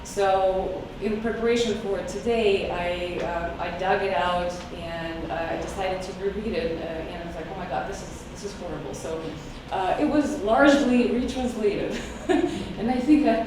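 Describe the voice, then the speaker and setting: A woman's voice: mid-range voice, moderate pace, hardly any pauses. A woman whose first language is Russian reads her own poem, speaking hesitantly and emotionally with many fillers. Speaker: poet reading her work. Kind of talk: poetry reading with spoken intro. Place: echoey hall, recorded from afar. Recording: distant, echoey, noisy room recording.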